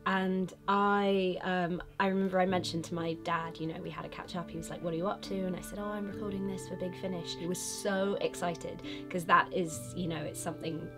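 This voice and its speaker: Young british woman chatting in an interview, lowish voice, music going behind. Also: steady tone, fairly quick, few pauses. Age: young adult. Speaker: voice actress. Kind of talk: casual interview talk. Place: studio room, indoors. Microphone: lapel mic.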